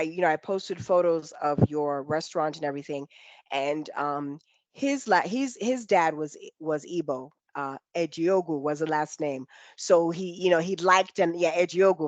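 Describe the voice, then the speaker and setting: A woman hosting a casual interview, chatting in an animated way, often restarting her sentences and teasing. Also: deep voice, dry recording. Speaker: interview host.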